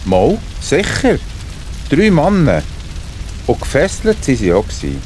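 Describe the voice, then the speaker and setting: A man's voice: medium pitch, wildly swooping pitch, a little slow, a little mellow, faint music behind. An actor playing a tattling, deferential servant of a king in a children's audio play. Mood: confirming.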